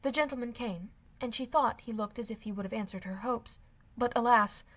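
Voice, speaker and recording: A woman's voice: a little high, fairly quick, a bit bright. A woman reading a classic novel aloud as scripted audiobook narration. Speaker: amateur audiobook reader.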